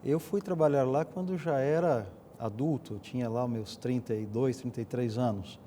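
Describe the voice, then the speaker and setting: A man's voice: mid-range voice, normal speed, mellow, slight background noise. A middle-aged or older man with a Brazilian accent, telling stories conversationally as a panel guest. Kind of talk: conversational storytelling in a discussion.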